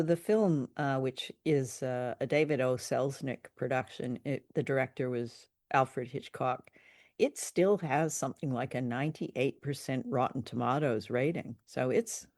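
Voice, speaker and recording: A woman's voice: extremely low pitch, mellow, no echo, studio quality. A woman co-hosting a casual discussion of film and radio drama, speaking in a conversational, appreciative way. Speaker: podcast co-host.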